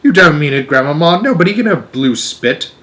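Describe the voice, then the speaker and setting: Man acting as young grandchild, storybook character, excitable, incredulous, curious, raised pitch. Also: a little fast. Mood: outraged, incredulous. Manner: exclaiming, incredulous questioning. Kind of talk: storybook read-aloud character.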